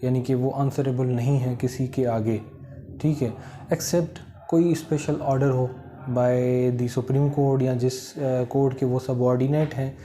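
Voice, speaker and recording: A Pakistani man teaches in an informal, explanatory lecturing style. His voice is very dark and soft-edged, sitting at a middle pitch, and he slips English legal terms into what he says. There is some noise behind him. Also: flat delivery, a little slow. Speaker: law lecturer.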